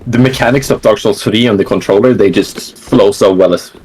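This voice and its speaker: A man being interviewed about the games he plays. He talks in a relaxed, rambling way, with lots of fillers. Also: fairly low pitch, a little fast, husky, low-quality recording. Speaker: interview guest, gamer. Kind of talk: casual online interview.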